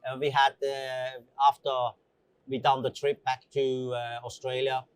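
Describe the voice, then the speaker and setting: A middle-aged man from Switzerland chatting as an interview guest, speaking in a halting, conversational way with frequent "you know" asides. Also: mid-range voice, flat delivery, smooth, no room sound. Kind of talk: casual interview answers.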